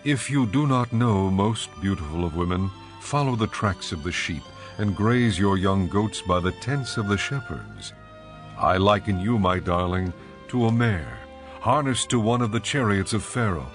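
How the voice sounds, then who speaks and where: An actor playing an ardent, adoring bridegroom, speaking tenderly and poetically in a dramatized scripture reading. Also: male voice, fairly low pitch, animated, soft background music, close to an everyday voice. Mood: admiring. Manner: tender, poetic, measured delivery.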